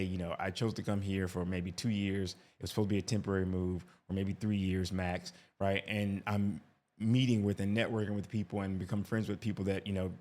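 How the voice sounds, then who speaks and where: A man's voice: low-pitched, darkish tone. A man giving casual reaction commentary as an expat vlogger, talkative, often tagging "right?" and restarting his sentences.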